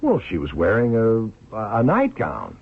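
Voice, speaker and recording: An actor playing a reluctant, puzzled police detective in a radio drama, loyal but doubtful, with a husky, halting delivery. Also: male voice, lowish voice, huge pitch swings, heavily acted. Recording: thin, phone-like old radio recording.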